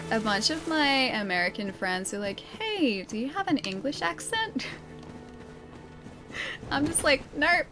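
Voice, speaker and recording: A talkative Australian woman live-streaming a game, chatting with viewers and laughing often. Her voice sits fairly high and swings up and down with a lot of life. She talks slowly and easily, with music playing clearly behind her. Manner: chatty, laughs often, reads chat aloud. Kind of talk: casual live-stream gaming chat.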